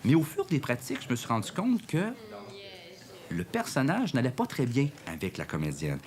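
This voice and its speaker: A man's voice: mid-range voice, wildly swooping pitch, moderate pace, a little mellow. A man, a drama teacher reflecting on casting in an interview, hesitant and full of fillers. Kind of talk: documentary interview.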